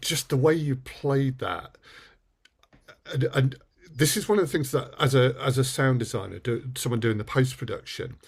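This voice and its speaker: A man interviewing in a casual, conversational way, measured and a little hesitant. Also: mid-range voice, husky. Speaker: producer, sound designer and interviewer.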